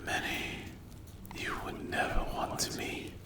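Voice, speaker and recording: A man voicing a sinister, predatory demon in a dark fantasy ASMR roleplay, taunting mockingly in breathy whispers. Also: roomy sound, natural voice. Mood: mocking menace. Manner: slow, menacing, mocking, silky taunts.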